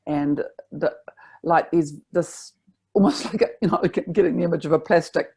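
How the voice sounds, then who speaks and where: A woman voice teacher talking in a reflective, spiritual way in a relaxed conversation, sometimes showing high and loud notes as she talks. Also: moderate pace, breathy edge. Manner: reflective, spiritual, demonstrates pitch while talking.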